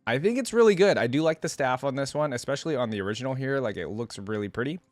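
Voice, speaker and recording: A man's voice: mid-range voice, animated, fast talker, no room sound. A man hosting a reaction video, giving his opinions in casual, conversational commentary.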